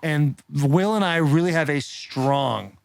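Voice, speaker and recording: A man's voice: on the high side, slow, creaky, clear, resonant tone. An American man hosting a podcast in casual, slangy bro talk with plenty of swearing. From Arizona, United States. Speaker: podcast host. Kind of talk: casual chat and conversational ad reads.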